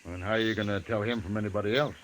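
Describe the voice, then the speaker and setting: An actor playing a calm, guarded saloon owner in a 1950s radio western. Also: male voice, lowish voice, very fast, lightly acted. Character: calm, guarded frontier saloon owner, fair-minded man.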